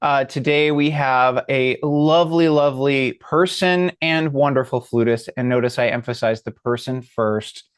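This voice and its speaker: A man's voice: mid-range voice, measured pace, few pauses, clean tone, no echo, studio quality. A man hosting an interview with a flutist over a video call, friendly and prone to restarts and filler words. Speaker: host and interviewer. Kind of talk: interview conversation.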